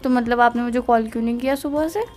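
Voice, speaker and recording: Young female, Indian accent, highish pitch, casual couple's phone chat, teasing and defensive, faint music. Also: quick pace, darkish tone. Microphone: phone call.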